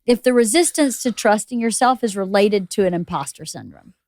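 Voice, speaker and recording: Female podcast host with a loud, opinionated delivery and a dry, close sound. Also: mid-range voice, lively intonation, fairly quick, dry recording. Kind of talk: casual interview chat.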